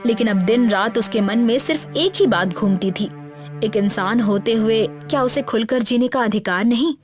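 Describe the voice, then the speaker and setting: A woman's voice: fairly high pitch, moderate pace. A woman reading a scripted, dramatic story as its narrator. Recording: music bed behind the voice.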